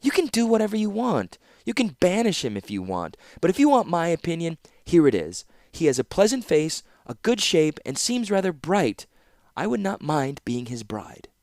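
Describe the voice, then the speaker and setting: A man putting on a headstrong young princess in a storybook, raising his pitch for her. She talks back sharply and defiantly. The voice is lifted but still close to his own. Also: sing-song, normal speed, darkish tone, no room sound. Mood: cold. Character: indignant young princess, strong-willed.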